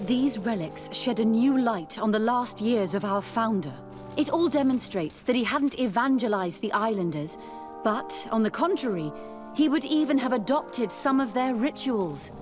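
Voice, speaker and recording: A woman acting an earnest nun and relic scholar in a fantasy game. Her voice sits fairly high and speaks with fervour, over clearly audible music. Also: natural voice. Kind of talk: scripted fantasy game dialogue.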